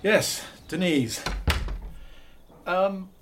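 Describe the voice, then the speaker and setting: An awkward hesitant vet. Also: male voice, high-pitched, very slow, fairly bright tone, a little hiss or hum, heavily acted. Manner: hesitant, awkward, full of ums. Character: awkward well-meaning village vet, middle-aged man. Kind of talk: radio drama acting.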